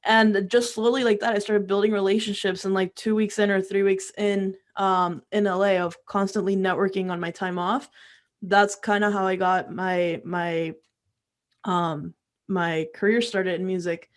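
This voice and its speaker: A woman's voice: medium pitch, level tone, normal speed. A young woman from Peru and Florida chatting informally as an interview guest, often saying "like" as she tells her story. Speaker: interview guest, music career coach.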